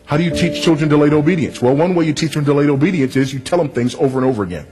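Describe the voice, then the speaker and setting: A man's voice: on the high side, racing, a little mellow, faint music behind, poor audio. Firm, didactic man preaching. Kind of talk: sermon.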